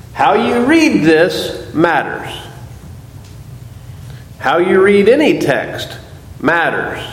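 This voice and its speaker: A man preaching a sermon to a congregation, explaining scripture and putting questions to his listeners. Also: high-pitched. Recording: echoey hall.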